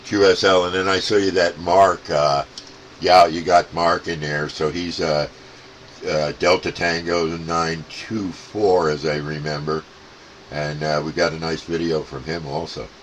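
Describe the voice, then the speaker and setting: Very deep-voiced man on CB radio. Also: little pitch movement, a little slow, a bit bright, a little hiss or hum. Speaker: CB radio hobbyist. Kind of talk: casual radio chat.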